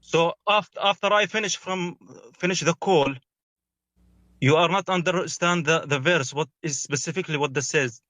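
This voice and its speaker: A man's voice: fairly high pitch, normal speed, fairly bright tone, no echo. Insistent male caller, dry close sound. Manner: insistent, broken English, interrupts. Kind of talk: call-in religious debate.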